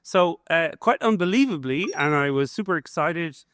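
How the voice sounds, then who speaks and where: A man's voice: a little high, expressive, moderate pace, a bit bright, a little hiss or hum. A man hosting a podcast interview at a conference, chatty and enthusiastic, with many fillers and restarts. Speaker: host, business school professor. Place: conference event.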